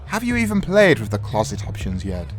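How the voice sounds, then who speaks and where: A man's voice: lowish voice, wildly swooping pitch, fairly quick, music in the background. A man playing a flamboyant, cocky lead programmer who teases his old colleague in an audio drama. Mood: teasing, cocky. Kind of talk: audio drama character dialogue.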